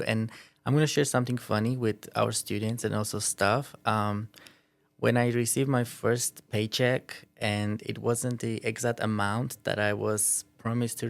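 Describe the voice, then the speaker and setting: A man from Armenia, a school language department head, telling stories in a measured, reflective way on a casual podcast. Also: on the low side, gravelly, dry recording. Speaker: ELD head teacher.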